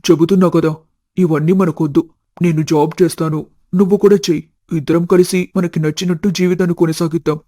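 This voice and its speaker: Guy voicing a sincere young lover in a cartoon story, mellow slightly high voice, dry sound. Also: fairly even intonation, fairly quick, no echo, natural voice. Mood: earnest. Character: lovestruck, earnest, principled young man. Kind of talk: scripted cartoon story dialogue.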